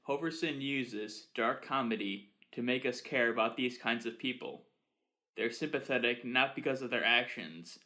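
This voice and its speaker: Man, middle pitch, bright crisp tone, podcast host, scripted review narration.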